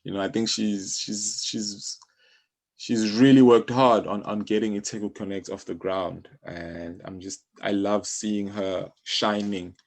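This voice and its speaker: Male podcast guest, low gravelly register, conversational and encouraging, explains things with plenty of "you know". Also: steady tone, a little slow. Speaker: music publisher, podcast guest. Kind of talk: casual interview talk.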